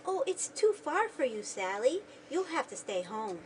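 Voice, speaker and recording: A woman playing a gentle, practical mother of a 1950s family in an amateur school radio drama. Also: high-pitched, very animated, a little slow. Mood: gentle, firm. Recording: distant mic, noisy home recording.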